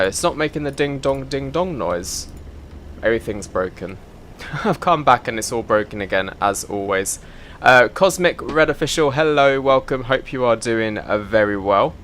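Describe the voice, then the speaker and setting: A man's voice: medium pitch, animated, moderate pace, fairly bright tone, a little hiss or hum. A man, chatting casually on a live stream, greeting viewers by name while sorting out his setup. He has a slight cough and sounds a little bunged up. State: slightly congested, a bit of a cough. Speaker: flight sim streamer.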